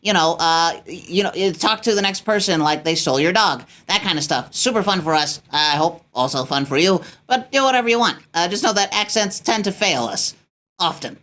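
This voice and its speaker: A woman's voice: on the low side, animated, moderate pace, fairly bright tone. A woman chatting casually as a stream host and performer, jokey and teasing, poking fun at herself and reading out viewer messages. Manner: jokey, teasing, self-deprecating. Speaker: stream host and performer in a radio play cold-read show. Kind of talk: casual unscripted group chat, reading viewer donations.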